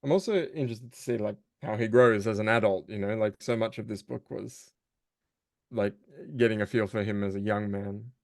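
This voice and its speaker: A man chats casually in a fairly low voice with a gravelly fry. He sounds thoughtful and tentative as he works through his phrasing, recorded close and dry. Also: moderate pace, no echo. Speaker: voice actor, guest. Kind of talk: casual conversation.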